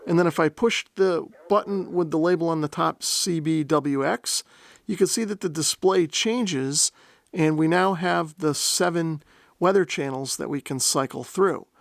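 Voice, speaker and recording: A man's voice: fairly high pitch, unhurried, creaky, no room sound. A man narrating a product demonstration in a methodical, step-by-step explanatory way. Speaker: host of a radio gear review channel.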